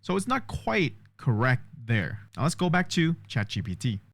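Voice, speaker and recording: A man's voice: mid-range voice, very animated, moderate pace, vocal fry, fairly bright tone, no echo, studio quality. A man vlogging a test of an AI voice assistant, explaining step by step and thinking aloud. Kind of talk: casual tech demo commentary.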